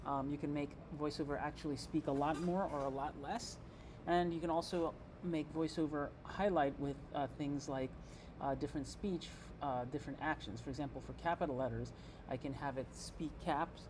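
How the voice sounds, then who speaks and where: A man presenting an informal software tutorial, explaining step by step in a halting, think-aloud way. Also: fairly high pitch, steady tone, background noise. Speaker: presenter giving a software demo. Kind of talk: informal screen-reader tutorial narration.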